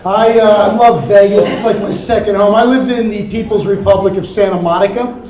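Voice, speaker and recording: A young man from Miami doing a stand-up set on a comedy club stage, with a deadpan, offhand delivery and quick asides. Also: high voice. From Miami, Florida. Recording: roomy club with crowd laughter. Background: audience laughter.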